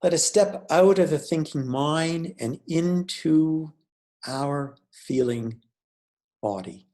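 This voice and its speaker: A man leading a guided meditation as a teacher, speaking in a measured, deliberate way with pauses between phrases. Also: a little high, animated, warm tone.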